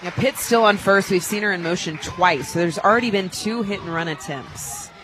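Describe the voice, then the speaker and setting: Higher-pitched male softball color commentator. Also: normal speed, a crowd in the background, background noise. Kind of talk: live softball radio commentary, conversational analysis. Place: stadium radio booth.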